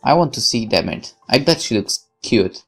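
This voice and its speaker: A let's player with a creaky voice, reading narration aloud expressively and dropping in casual asides. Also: mid-range voice, lively intonation, moderate pace, fairly bright tone, faint music behind.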